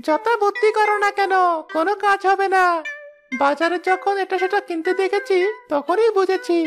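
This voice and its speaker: A woman's voice: very high-pitched, steady tone, moderate pace, faint music behind. A woman voicing a greedy, sneaky female village ghost in a cartoon, gloating and scheming. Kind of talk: cartoon voice acting.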